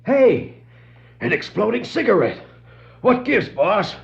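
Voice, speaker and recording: An actor playing a gruff, slow-witted henchman with slangy street-tough talk, in a 1960s superhero audio drama. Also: high-pitched, very animated, very noisy, heavily acted. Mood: startled. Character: gruff, dim adult male gangster henchman. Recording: dull vintage vinyl record.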